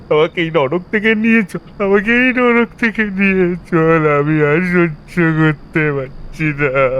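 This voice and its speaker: A man's voice: a little high, highs cut off, a little hiss or hum, close to an everyday voice. A man playing a guilty, broken businessman, pleading and stammering in panic in an audio thriller. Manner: pleading, stammering, repeating himself. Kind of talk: dramatic Bengali audio thriller acting.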